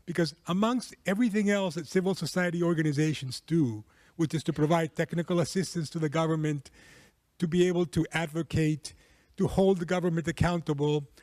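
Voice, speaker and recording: A man from Peru giving earnest, measured conference panel remarks as a civil society network chair, with frequent um fillers. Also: a little high, a little mellow, no echo, clean studio sound. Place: conference hall.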